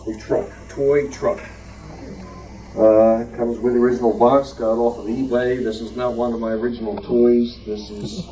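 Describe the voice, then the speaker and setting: Male club presenter, relaxed tone, meandering informal delivery, distant mic with some room noise. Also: medium pitch. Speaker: club member presenting a collectible. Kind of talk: informal show-and-tell presentation.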